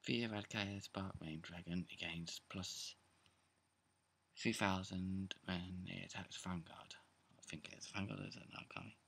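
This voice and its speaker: A young man with a sore, croaky throat, talking quietly in a half-whisper at home while he goes through his card game deck for a casual video. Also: low-pitched, steady tone, moderate pace. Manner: hushed, half-whispering late at night. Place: indoors, seated on a bed or floor at home. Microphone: camera close in front, pointed at his hands.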